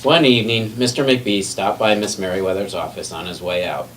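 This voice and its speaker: A man's voice: lowish voice, steady tone, brisk. A man reading a children's picture book aloud expressively, sometimes stumbling and correcting himself. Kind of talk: children's read-aloud storytelling. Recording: distant mic with faint background noise.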